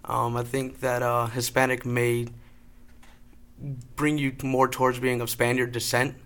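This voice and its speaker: A man's voice: mid-range voice, completely flat delivery, unhurried, fairly bright tone, clear, resonant tone. Man hedging in a level voice. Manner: hedging, tentative. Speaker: panelist. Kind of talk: casual panel discussion.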